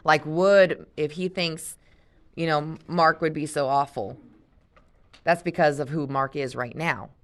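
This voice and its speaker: Relaxed woman teacher explaining a story. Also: low voice, a little slow. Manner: casual, explaining, thinking aloud. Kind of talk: read-aloud and class discussion.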